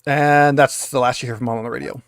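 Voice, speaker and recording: A man's voice: mid-range voice, fairly even intonation, fast talker, vocal fry, dry recording, studio quality. A man acting as game master, narrating a tabletop roleplaying game.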